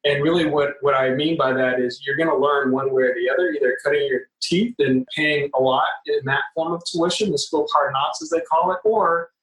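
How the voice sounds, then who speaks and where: A man's voice: fairly high pitch, fairly quick, few pauses, fairly bright tone. A man in his forties, originally from the Philippines, speaks earnestly as a podcast guest, often repeating words as he starts a phrase. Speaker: podcast guest, real estate investor. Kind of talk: conversational interview. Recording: roomy, distant sound.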